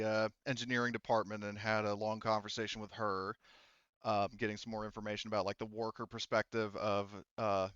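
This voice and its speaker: A man acting as game master, narrating casually in a halting, rambling way with frequent self-corrections. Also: lowish voice, fairly bright tone, clear, resonant tone, no echo, muffled recording.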